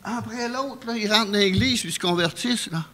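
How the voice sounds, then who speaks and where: A man with a Québécois French accent preaching a calm, anecdotal testimony sermon in a church, chuckling often. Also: high-pitched, fast, a little hiss or hum. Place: church sanctuary. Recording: echoey church hall.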